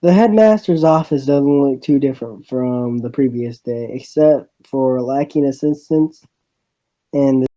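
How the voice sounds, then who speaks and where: A man's voice: medium pitch, measured pace, mellow, dry recording, highs cut off. A man reading a visual novel aloud, often stumbling and restarting phrases.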